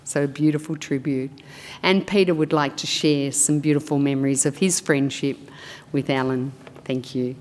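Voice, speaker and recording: Low-voiced woman celebrant, slow and solemn. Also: fairly even intonation, a little mellow. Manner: slow, measured and solemn delivery. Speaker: funeral celebrant. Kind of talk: reading a eulogy aloud. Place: funeral service hall. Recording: long hall reverb.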